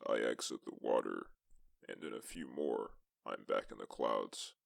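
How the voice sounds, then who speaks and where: A man narrating as a lone astronaut explorer in a suspense science-fiction story, with a gravelly voice and measured, deliberate delivery. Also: very deep voice, heavily acted. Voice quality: gravelly, creaky. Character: lone male astronaut explorer recounting a tense exoplanet mission. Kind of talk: scripted first-person sci-fi story narration.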